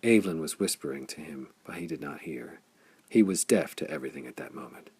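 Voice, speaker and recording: Gravelly deep-voiced male audiobook narrator. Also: very animated, a little fast, darkish tone. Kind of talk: audiobook reading of a pulp science-fiction story.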